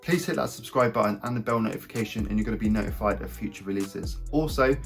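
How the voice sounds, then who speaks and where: A man in his thirties with a croaky voice from a recent cold, hosting an upbeat scripted tutorial from a small home office and speaking straight to viewers. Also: on the low side, fast talker, faint music behind. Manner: upbeat, direct, addresses viewers as guys. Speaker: web design channel host. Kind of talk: scripted talking-head tutorial.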